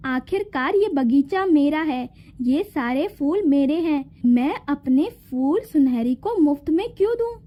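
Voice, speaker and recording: A woman's voice: sits high, animated, unhurried, lo-fi sound, pitched below the performer's own voice. A woman voicing a jealous, scheming fairy in a cartoon, possessive and calculating. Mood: resentful, scheming. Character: jealous scheming female fairy who owns a garden.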